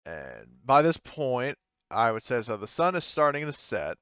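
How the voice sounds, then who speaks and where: A man's voice: medium pitch, big pitch swings, a little slow, a bit bright, clean tone, no room sound. A man running a tabletop game as game master, trailing off with fillers and laughing often. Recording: dull lo-fi dry sound.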